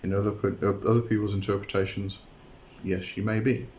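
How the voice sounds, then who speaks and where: A man talking to his viewers in a hesitant monologue, often pausing on "um" and repeating words as he explains a term. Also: lowish voice, level tone, darkish tone, a little hiss or hum, poor audio. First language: not a Greek speaker. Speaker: occult channel host.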